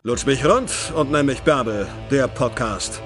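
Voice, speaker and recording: A man's voice: mid-range voice, measured pace. A man announcing a podcast in the style of a jingle, with guitar music behind him.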